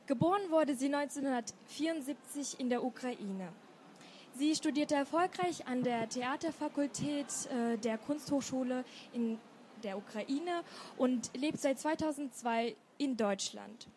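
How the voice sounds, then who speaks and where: Moderatorin, hohe Stimme, stellt im Gespräch freundlich Fragen. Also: female voice, high-pitched, measured pace, a little mellow, slight background noise. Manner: reads intro, asks friendly questions. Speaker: event moderator. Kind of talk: book fair interview.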